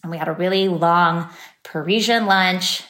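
A woman's voice: lowish voice, normal speed, fairly bright tone. A woman in her thirties from San Francisco, a company founder telling her story in a podcast interview in an upbeat, chatty way. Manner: upbeat, chatty, many fillers. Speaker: startup founder and guest. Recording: echoey room.

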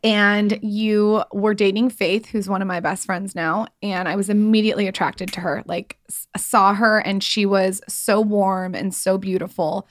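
A woman's voice: mid-range voice, steady tone, measured pace, no echo. A woman co-hosting a podcast in casual conversation, informal, teasing and chatty. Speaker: podcast co-host. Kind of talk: casual chat.

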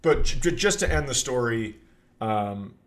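A middle-aged American man chatting casually as a podcast co-host, telling stories in an animated, playfully self-important comedic way. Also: mid-range voice, unhurried.

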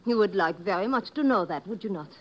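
A woman's voice: mid-range voice, animated, fast talker, a little mellow, highs cut off, lightly acted. A woman playing a menacing femme fatale. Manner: stilted formal English, threatening. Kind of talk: 1950s radio drama acting. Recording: old radio recording with noise.

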